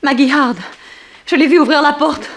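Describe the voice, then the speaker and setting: A woman's voice: sits high, steady tone, normal speed, background noise. An actress playing a frightened, devoted young woman in a 1960s French radio crime serial, breathless and anxious.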